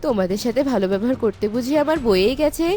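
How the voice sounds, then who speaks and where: A woman voicing a haughty, sharp-tongued young village wife in a cartoon, scolding and bossy. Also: on the high side, expressive, rapid-fire, lightly acted. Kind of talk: cartoon voice acting. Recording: music behind the voice.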